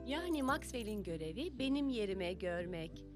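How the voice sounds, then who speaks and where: A woman playing a kind, patient grown-up in a preschool cartoon dub, explaining things simply and gently to a child. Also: medium pitch, very animated, normal speed, close to an everyday voice. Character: kind, patient blind woman with guide dog. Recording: music under the dialogue.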